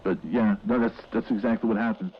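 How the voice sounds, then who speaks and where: A man's voice: fairly low pitch, steady tone, slight background noise, lightly acted. Man acting shaken witness on lo-fi audio. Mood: shaken, bewildered. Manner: halting, rambling, repeating words. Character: shaken male mall worker recounting a horrific incident. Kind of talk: horror audio drama, informal witness account.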